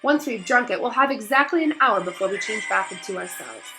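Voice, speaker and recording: A woman voicing an earnest, nervous, bookish schoolgirl witch with brisk, precise speech in a storybook reading. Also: medium pitch, expressive, bright, forceful tone. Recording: roomy echo, faint music behind.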